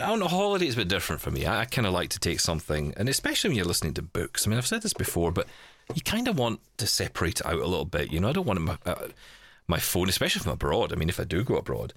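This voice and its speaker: A man's voice: lowish voice, big pitch swings, quick pace, a bit bright, no echo, clean studio sound. A man hosting a podcast in casual, chatty style, thinking aloud with wry asides. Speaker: podcast host. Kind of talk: casual chat, live unboxing of a gadget.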